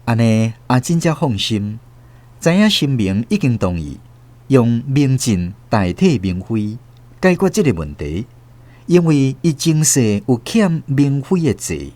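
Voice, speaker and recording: A man with a Taiwanese accent narrating a scripted story in a theatrical, expressive way. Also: mid-range voice, unhurried, no echo.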